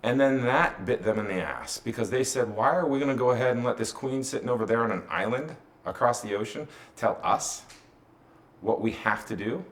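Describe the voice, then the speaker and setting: A man's voice: mid-range voice, a little fast. An American man answering interview questions, earnest and emphatic, posing rhetorical questions and answering them himself. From United States.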